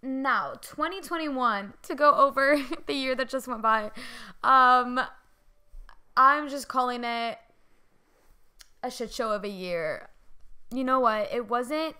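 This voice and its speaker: A young woman hosts her own podcast in a chatty, rambling way, poking fun at herself as she goes. Her voice is bright, clear and a little high, with a slight hoarseness left over from a loud night out. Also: slow pace, long pauses. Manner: chatty, self-deprecating, rambling with fillers. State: hoarse from screaming the day before. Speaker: podcast host. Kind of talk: casual solo podcast chat.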